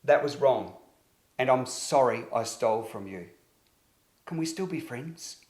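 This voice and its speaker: A man acts out a young boy from a children's storybook, owning up with earnest, apologetic words. The delivery is confessional and guilty. The sound is roomy, with clear echo. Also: mid-range voice, lively intonation, unhurried, a little mellow, natural voice. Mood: sorry, earnest.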